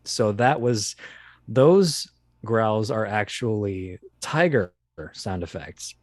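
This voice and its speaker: A man speaking casually as an interview guest, talking about his creative work in a halting, think-aloud way. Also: lowish voice, expressive, no echo. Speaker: guest, audio drama producer and voice actor.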